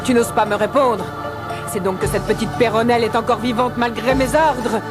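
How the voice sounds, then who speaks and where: A woman's voice: on the high side, fairly even intonation, normal speed, natural voice. A woman voicing a proud, scheming cartoon queen who gives orders in a haughty, imperious way. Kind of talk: French cartoon dub. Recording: with cartoon music.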